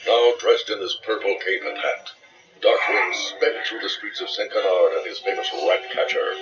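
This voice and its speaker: A man's voice: sits low, normal speed, far from the mic, muffled recording, over music. A man reading a scripted, dramatic children's story as its narrator.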